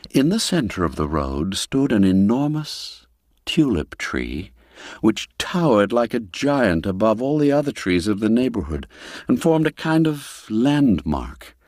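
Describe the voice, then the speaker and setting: Slow, animated male storyteller. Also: fairly low pitch, dry recording, studio quality. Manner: theatrical, expressive, unhurried. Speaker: narrator. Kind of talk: scripted literary storytelling narration.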